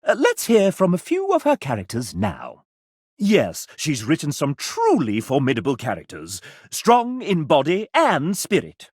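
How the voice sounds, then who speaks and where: Sassy, wildly animated gentleman host. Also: a little high, unhurried, slightly rough, no room sound, studio quality, full character voice. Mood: playful announcing. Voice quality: animated theatrical delivery. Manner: pompous, showy, sardonic. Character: sassy, flamboyant gentleman host, pompous and playful. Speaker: game show style host and narrator. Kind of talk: theatrical video game narration.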